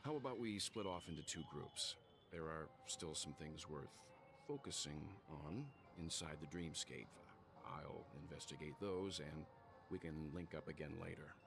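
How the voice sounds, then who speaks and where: A man playing a courteous, formal middle-aged gentleman in a video game, speaking in a measured, grave way. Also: very deep voice, gravelly. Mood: composed. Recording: music behind the voice.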